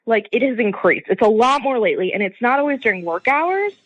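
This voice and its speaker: A woman caller with a husky voice, telling a personal story in a casual, halting, confiding way. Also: a little high, expressive, no echo, dull audio. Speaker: caller to a radio show.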